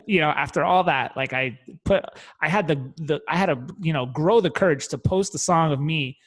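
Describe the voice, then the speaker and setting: A man's voice: a little high, normal speed. A young man in casual chat, talking loosely with frequent swearing, fillers and restarts. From Italian family, parents from Naples. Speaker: guest marketer.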